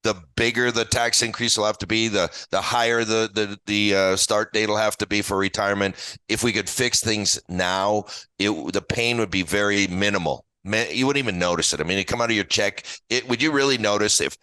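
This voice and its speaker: A man's voice: fairly low pitch, steady tone, a little fast, pushed and bright, clear, resonant tone, no echo, rough recording. An American man in his early sixties explaining things as an interview guest in an emphatic, plain-spoken, folksy way. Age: early 60s. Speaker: guest retirement expert and author.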